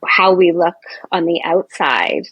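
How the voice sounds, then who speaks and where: A woman in her forties with a lowish, husky voice, talking with a guest's easy chattiness. She is loud and animated and fills her sentences with "um" and "you know". The recording is dry and close. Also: measured pace, dry recording, dull audio. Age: 40s. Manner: chatty, many fillers and restarts, animated. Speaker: nurse and Ayurveda practitioner, guest. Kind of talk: casual interview chat.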